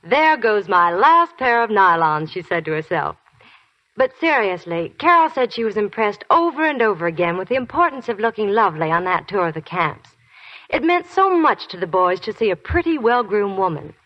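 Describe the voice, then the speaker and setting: Animated American woman in 1940s radio. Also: medium pitch, normal speed. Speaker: radio advertising spokeswoman. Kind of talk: scripted chatty 1940s radio ad. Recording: dull 1940s radio recording.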